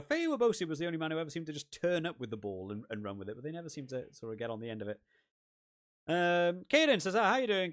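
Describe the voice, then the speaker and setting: A man from the UK hosting a rugby gaming live stream, chatting and rambling with lots of restarts, his voice wearing out and going hoarse. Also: fairly high pitch. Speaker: rugby gaming channel host. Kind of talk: casual live stream chat.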